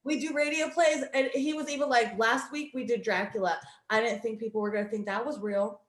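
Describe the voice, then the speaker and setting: High-voiced woman in an echoey room. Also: fairly quick, crowd noise. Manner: animated retelling with acted-out quotes, swearing. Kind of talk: casual group banter.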